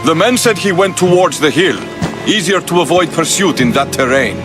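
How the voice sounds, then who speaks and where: A man's voice: on the high side, animated, moderate pace, natural voice. A man as stern Spartan army commander. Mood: grave, commanding. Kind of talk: video game cutscene acting. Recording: music behind the dialogue.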